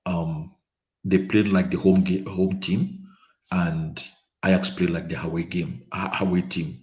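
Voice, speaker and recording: A man giving his views in a casual football chat over a call. He hesitates often, repeating words and filling pauses with um. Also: deep voice, level tone, darkish tone. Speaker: panel guest. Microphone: remote call mic, quiet.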